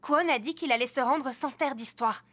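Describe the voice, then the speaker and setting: A woman's voice: high-pitched, normal speed, fairly bright tone. A woman playing a kindly, eager police officer in a French cartoon dub.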